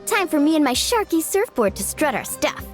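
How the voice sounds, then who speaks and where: A woman's voice: sits high, big pitch swings, normal speed, soft background music. A woman voicing a bold, breezy young female surfer and team leader in a video game. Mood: confident.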